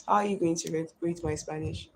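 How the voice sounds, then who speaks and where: A woman's voice: deep voice, steady tone, brisk, vocal fry, slight background noise. A woman in her twenties chatting on a live stream, reading and answering viewer comments one by one. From Nigeria. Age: 20s.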